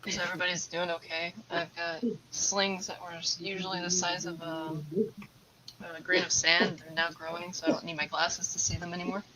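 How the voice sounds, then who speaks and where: A woman's voice: lowish voice, flat delivery, normal speed, over a noisy crowd, low-quality recording. A woman whose first language is English, a tarantula keeper chatting casually as a guest on a live stream and explaining things at length. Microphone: video call.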